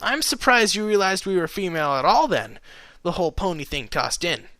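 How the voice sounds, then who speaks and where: A man's voice: high voice, very animated, takes it slowly, sharp tone, no room sound, pitched above the performer's own voice, full character voice. A man voicing a brash, impish young female pegasus pony from a story, teasing in a casual, exclamatory way. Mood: smug teasing.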